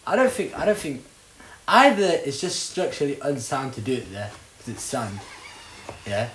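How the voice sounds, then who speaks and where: A young man from Somalia, hosting a casual podcast chat with animated, laughing banter. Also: mid-range voice, moderate pace. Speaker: podcast host. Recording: echoey room with faint noise.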